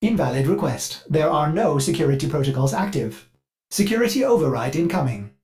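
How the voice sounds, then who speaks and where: A man's voice: on the high side, expressive, fairly quick, darkish tone, natural voice. Fussy, swooping robotic butler played by a man. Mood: brisk, dutiful. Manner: mannered, formal, refers to itself in third person. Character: polite, fussy, eager male ship AI servant, prone to slips. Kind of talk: scripted game character voice lines.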